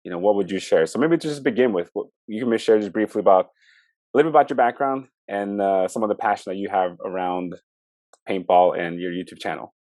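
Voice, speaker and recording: A man's voice: lowish voice, brisk, darkish tone, no echo. A man with a Norwegian accent hosting a casual video interview, friendly and a little hesitant with fillers. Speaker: host.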